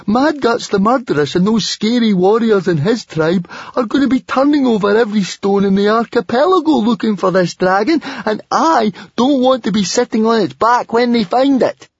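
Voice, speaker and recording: A squeaky, nervous Viking boy, fretting and protesting in a high, husky voice. His pitch jumps about like a born worrier's in an animated storybook reading. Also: moderate pace, hardly any pauses, no room sound, highs cut off, exaggerated voice. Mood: panicked protest. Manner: fretful, protesting.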